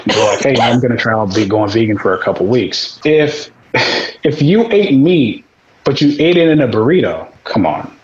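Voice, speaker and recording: A man's voice: mid-range voice, sing-song, normal speed, a little mellow, muffled recording, slight background noise. A man in casual podcast chat, speaking bluntly with some swearing and acting out the people he quotes.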